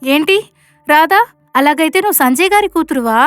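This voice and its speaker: A woman playing a vain, haughty rich wife with a dramatic delivery in an animated story. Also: high-pitched, fairly quick, dry recording, soft background music. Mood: shocked. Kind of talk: animated moral story dub.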